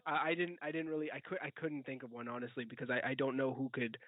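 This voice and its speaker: A man talks quickly in a casual co-hosted chat, rambling and doubling back on his words. The pitch is average. The sound is dry and squeezed like a phone call. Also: little pitch movement, dry recording. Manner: rambling, halting, repeats words. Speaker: podcast co-host. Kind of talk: casual chat, film discussion. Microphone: remote online call.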